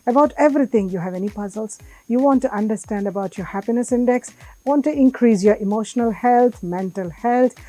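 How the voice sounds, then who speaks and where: A woman with a Nigerian accent reading a scripted promo for a talk show in a warm, sing-song, soothing way. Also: mid-range voice, low-quality recording.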